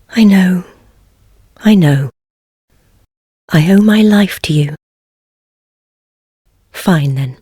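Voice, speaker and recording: A woman playing a wry, world-weary heretical witch in a fantasy game, speaking in measured, archaic phrases with gentle teasing. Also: lowish voice, no echo, studio quality, exaggerated voice. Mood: soft, sincere. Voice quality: husky, breathy. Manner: measured, archaic formal speech, softly teasing. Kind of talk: scripted fantasy game voice acting.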